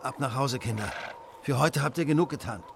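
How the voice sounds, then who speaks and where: An actor playing a brisk, self-assured detective who speaks with precise authority in a radio play. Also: male voice, mid-range voice, creaky, background noise, lightly acted. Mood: quiet, firm. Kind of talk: German radio play acting.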